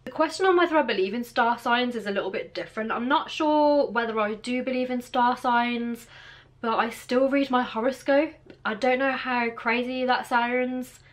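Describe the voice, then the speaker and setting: A woman's voice: fairly high pitch, moderate pace. A woman in her late twenties from Birmingham, England, with a local accent, chatting to camera in a casual Q and A from her bedroom, rambling with lots of fillers. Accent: Birmingham English. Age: 20s. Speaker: vlogger. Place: bedroom with clothes rail, indoors.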